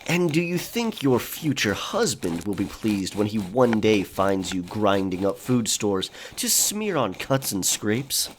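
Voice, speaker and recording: A man's voice: on the low side, sing-song, a little slow, hardly any pauses, slight background noise. A man playing a roguish, guarded pirate in an audio drama, with a sly, curt way of talking. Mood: teasing. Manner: sly, teasing, curt when pressed. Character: Grayson, roguish guarded male pirate from London's gutters.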